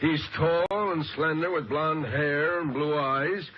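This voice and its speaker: American homicide cop, 1940s radio crime drama. Blunt, folksy, slightly high voice, dulled vintage sound. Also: male voice, steady tone, slow pace, breathy edge. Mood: prodding. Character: plain-spoken male homicide police sergeant. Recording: muffled, noisy old radio recording.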